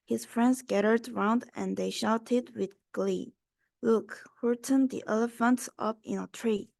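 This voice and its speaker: Young woman, highish voice, some vocal fry, slow children's story reading, dry sound. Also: steady tone, darkish tone, no room sound. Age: young adult. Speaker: student reader.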